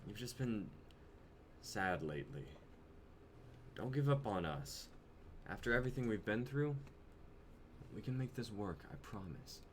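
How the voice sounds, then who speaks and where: A man's voice: on the low side, animated, a little slow, long pauses. A man playing an insecure, on-edge young boyfriend who pleads and flares up, in a dramatic script reading. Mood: subdued pleading. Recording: noisy amateur livestream audio.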